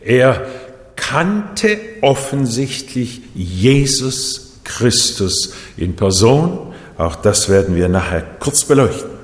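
Man giving a sermon, roomy church echo, born in japan, slow and emphatic. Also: lowish voice. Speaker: preacher. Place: church hall. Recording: echoey hall, voice set back.

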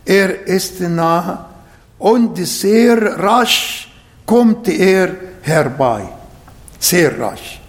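Slow high-voiced man preaching in a hall. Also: animated. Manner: non-native German with grammar slips; slow, emphatic scripture reading. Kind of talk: sermon.

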